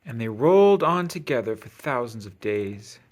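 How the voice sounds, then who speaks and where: A man reading a rhyming children's picture book aloud in an expressive, sing-song storytelling manner. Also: mid-range voice, normal speed. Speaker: storybook reader.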